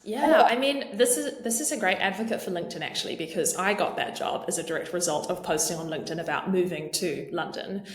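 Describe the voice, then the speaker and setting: A woman's voice: lowish voice, brisk, hardly any pauses, roomy sound. A young adult woman with a New Zealand accent, chatting casually as a podcast guest with frequent ums. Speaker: podcast guest, marketing lead. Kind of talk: casual interview chat.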